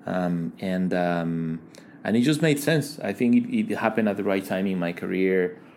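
Low-voiced man. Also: darkish tone, a little hiss or hum. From Ecuador. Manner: thoughtful, halting, many fillers and restarts. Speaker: guest, digital design leader. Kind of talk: casual interview conversation.

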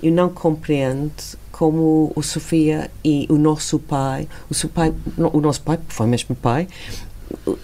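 A middle-aged woman with a foreign accent, a non-native speaker, answering in a casual interview, haltingly searching for words. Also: on the low side, warm tone. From spent early childhood in Beirut. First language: not Portuguese. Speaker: interview guest, wine estate owner.

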